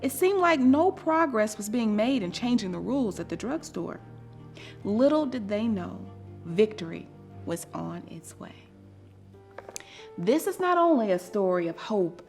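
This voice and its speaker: A woman reading a children's story aloud in a deliberate, expressive and dramatic storytelling manner. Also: medium pitch. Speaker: storybook reader.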